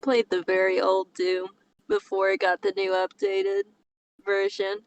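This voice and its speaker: A woman's voice: medium pitch, animated, a little slow, vocal fry, low-quality recording. A woman co-hosting a casual group session of chat and reading stories aloud. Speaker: co-host.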